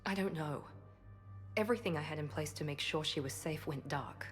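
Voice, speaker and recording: An actress playing a composed, guarded woman operative in a video game, speaking in a measured, wry, restrained way. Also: sits low, soft background music, close to an everyday voice. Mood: worried. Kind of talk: video game cinematic dialogue acting.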